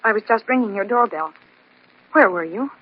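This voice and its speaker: An actress playing an anxious, pleading mistress in an old-time radio drama, melodramatic and urgent, in a muffled recording. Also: female voice, mid-range voice, lively intonation, moderate pace, breathy edge. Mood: concerned.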